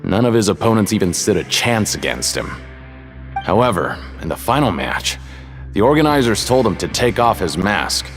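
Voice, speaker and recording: Deep-voiced, animated betting tout. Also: male voice, normal speed. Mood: storytelling. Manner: casual, hesitant, confiding salesman. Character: shifty, folksy adult male betting-ticket seller at fights. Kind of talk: video game character acting. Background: music.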